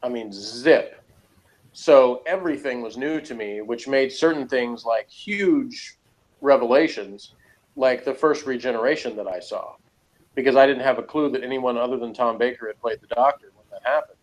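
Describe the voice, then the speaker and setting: A man's voice: medium pitch, normal speed, poor audio. A middle-aged man hosting a casual review discussion, talking in a rambling, self-qualifying, conversational way. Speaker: podcast host.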